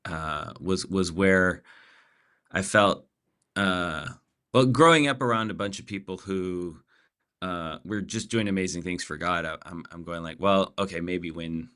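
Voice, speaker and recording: A man's voice: low-pitched, unhurried, fairly bright tone, clear, resonant tone. A man in his forties from Pakistan, a podcast guest talking casually with many fillers and restarts. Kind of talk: casual interview chat.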